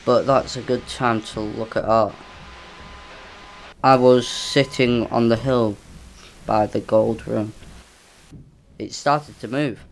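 A man's voice: medium pitch, fairly even intonation, slow, gravelly, long pauses, slight background noise, rough recording. A man reading a spooky first-person story in a plain, earnest way.

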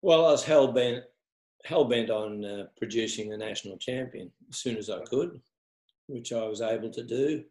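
A man's voice: medium pitch, moderate pace, a little mellow. An older man from Australia, a tennis coach, animatedly reminiscing in conversation with frequent laughs. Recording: echoey room.

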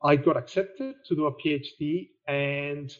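A man's voice: a little high, unhurried. Mexican-accented man on a dull line. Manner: relaxed storytelling with fillers and restarts. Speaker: guest, architect and researcher. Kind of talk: conversational interview answer.

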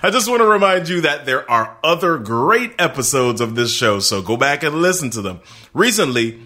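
A man hosts a podcast in an upbeat, emphatic way, talking straight to his listeners. His pitch swings widely, and his tone is bright and forceful. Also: big pitch swings, moderate pace. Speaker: podcast host. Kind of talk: podcast hosting and interviewing.

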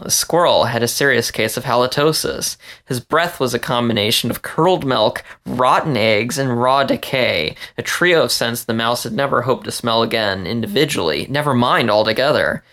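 Guy narrating a story audiobook style, gravelly fry in his voice, mid pitch. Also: bright, forceful tone. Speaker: story reader.

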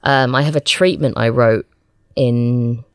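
A woman's voice: extremely low pitch, steady tone, measured pace, no echo, clean studio sound. A woman chatting casually as an interview guest, a writer with a hesitant, self-deprecating manner.

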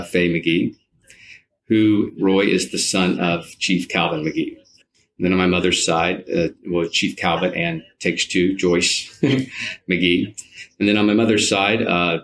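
Low-voiced man in his fifties, halting. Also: rough recording. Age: 50s. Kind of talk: conversational interview answers.

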